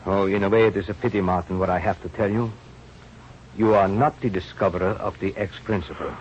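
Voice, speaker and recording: An actor playing an old, kindly, rueful scientist, speaking in halting, formal phrases in an old radio drama. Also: male voice, low voice, fairly even intonation, natural voice. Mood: reluctant, regretful. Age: older. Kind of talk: 1930s-40s radio drama acting. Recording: muffled, noisy old radio recording.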